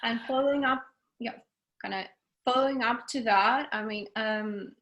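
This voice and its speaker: A young adult woman hosts an interview over a video call, in a voice a little higher than average. She speaks hesitantly, with many fillers between her phrases. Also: moderate pace, fairly bright tone, lo-fi sound. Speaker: host, consulate officer.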